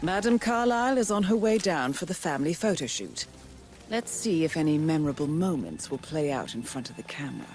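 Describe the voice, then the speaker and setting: An actress playing a calm, businesslike female mission handler in a video game. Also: sits low, a little fast, faint music behind. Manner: cool, composed, businesslike. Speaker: handler on radio.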